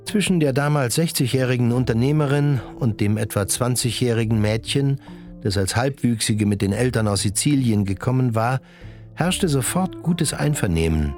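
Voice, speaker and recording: A male narrator with a medium-pitched voice tells the story in a scripted radio play style. Music plays faintly behind him. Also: animated, moderate pace, darkish tone. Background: music under the voice.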